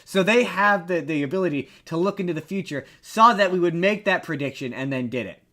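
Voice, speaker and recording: A man's voice: high voice, expressive, fairly quick, fairly bright tone. Casual man co-hosting a chatty podcast. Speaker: podcast co-host. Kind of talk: casual pop-culture podcast chat.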